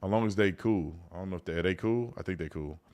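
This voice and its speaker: Middle-aged man, lowish voice, live commentary host, conversational, colloquial, informal livestream talk. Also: fast talker, darkish tone. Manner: conversational, colloquial, addressing viewers directly. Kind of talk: casual livestream talk, reading out viewer messages.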